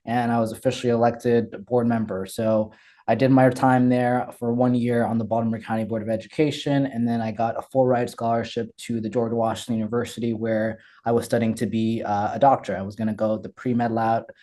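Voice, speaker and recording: Brief: young man from Ethiopia, interview guest, relaxed dark tone, energetic and candid. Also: medium pitch, brisk. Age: young adult. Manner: candid, energetic, frequent fillers and restarts. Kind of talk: casual interview storytelling.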